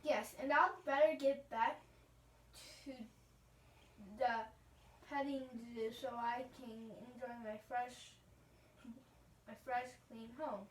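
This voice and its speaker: Roomy distant recording of a girl doing a cheery lamb puppet, kids show, slow halting reading. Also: a little high, steady tone, a little hiss or hum. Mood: cheerful, earnest. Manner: halting, stumbling read-aloud delivery. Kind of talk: children's puppet show, scripted lines.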